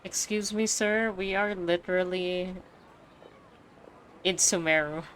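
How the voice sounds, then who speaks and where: A woman streamer giving casual, slangy, expressive reactions to a game, exclaiming often, with game music behind her. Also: on the low side, slow pace, a little hiss or hum.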